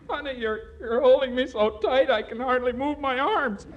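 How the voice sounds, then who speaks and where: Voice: sits high, a little slow, lo-fi sound, a crowd in the background. A performer playing a timid, shy young man who stammers bashfully, in 1940s radio comedy. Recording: hissy 1940s radio recording.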